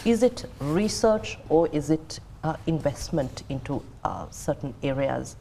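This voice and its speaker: A woman hosting a talk show, asking measured questions with many fillers. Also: deep voice, animated, vocal fry, mellow, a little hiss or hum. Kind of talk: interviewing.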